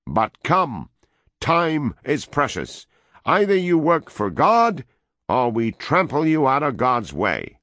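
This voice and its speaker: A man voicing a domineering male world president, loud, preaching and dictatorial. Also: mid-range voice, sing-song, slow, no room sound, voice pushed up, heavily acted. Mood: zealous.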